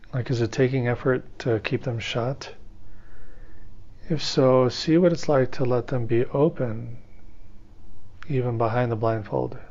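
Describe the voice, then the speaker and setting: A man's voice: mid-range voice, a little mellow. Man guiding meditation, slightly dull recording. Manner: unhurried step-by-step instruction with pauses. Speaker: guided meditation teacher. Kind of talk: guided meditation instruction. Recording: slightly dull, band-limited audio.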